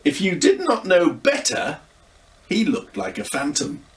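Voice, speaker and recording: A man's voice: sits high, wildly swooping pitch, measured pace. A man reads a children's story aloud with lots of expression, turning now and then to speak to the listener. His voice swings widely and sounds a little distant from the mic.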